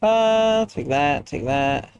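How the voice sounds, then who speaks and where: Smooth-voiced man chatting on stream. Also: a little high, animated, takes it slowly, a little mellow, dull audio. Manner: rambling, reacts to chat, goofy asides. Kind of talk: casual chat while gaming.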